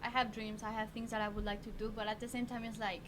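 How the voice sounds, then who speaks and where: A woman's voice: a little high, little pitch movement, quick pace. A teenage woman with a Mexican accent whose first language is Spanish, a podcast guest speaking earnestly in casual discussion and often hunting for words. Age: teens. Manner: earnest, searching for words with many fillers. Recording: noisy background.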